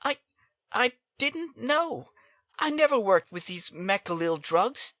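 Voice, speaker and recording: A man's voice: sits high, big pitch swings, takes it slowly, bright, forceful tone, dry recording, exaggerated voice. A man playing a nervous, defensive young doctor in a dramatic audiobook, hesitant and protesting. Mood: frightened, protesting.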